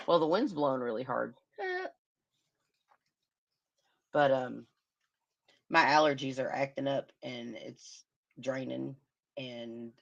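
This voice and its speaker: Hoarse, gravelly woman livestream host. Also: a bass voice, big pitch swings, slow pace, pauses often, highs cut off. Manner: murmuring, sympathetic, hums to herself. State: hoarse, losing her voice. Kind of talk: casual livestream commentary on a crime case.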